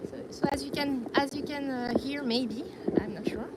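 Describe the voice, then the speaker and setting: A woman walking outdoors on busy streets, chatting casually on a live stream and often pausing to search for words. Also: sits high, steady tone. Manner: hesitant, searching for words, light laughs. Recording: loud street noise.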